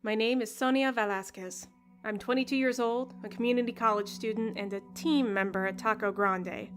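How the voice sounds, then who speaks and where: An actress playing a jaded, sardonic fast-food worker in her early twenties, dry and wry, in an audio drama. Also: fairly high pitch, fairly even intonation, soft background music, lightly acted. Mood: bitter, wry. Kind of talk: first-person audio drama narration and dialogue.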